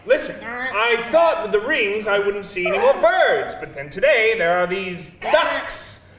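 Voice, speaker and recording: An actor playing an exasperated, pleading man in a live table read, halting and flustered, in a roomy venue. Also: mid-range voice, big pitch swings, a crowd in the background.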